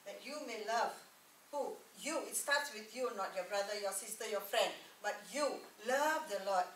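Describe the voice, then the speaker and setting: A woman's voice: mid-range voice, moderate pace, fairly bright tone, a little hiss or hum. A middle-aged woman preaching a sermon, repeating key words and calling for "Amen?" from the room, recorded from a distant camera. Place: church meeting room with a projector screen. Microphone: distant camera mic. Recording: echoey room, far from the mic.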